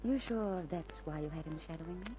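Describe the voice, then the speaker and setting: A woman's voice: fairly low pitch, fairly quick, warm tone, close to an everyday voice, low-quality recording. Woman acting coy, flirty radio crime dame. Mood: coy, wary. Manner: coy, teasing. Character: flirty, suspicious, tipsy underworld dame. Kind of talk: old-time radio crime drama acting. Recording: old radio recording with music.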